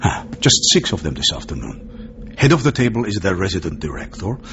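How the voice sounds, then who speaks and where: A Turkish spymaster with a very deep, highly animated voice. He is genial and worldly, nudging his listener with questioning tags. The radio drama recording is dull, with noticeable noise. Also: brisk, exaggerated voice. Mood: worldly, teasing. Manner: ends phrases with questioning huh and eh, chuckles. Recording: dull lo-fi sound with clear noise.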